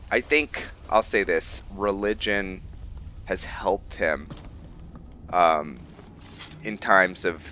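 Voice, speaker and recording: A man giving casual gameplay commentary and explaining character lore, haltingly thinking aloud as he goes. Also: low-pitched, bright, forceful tone, slight background noise. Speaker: gameplay host and role-player.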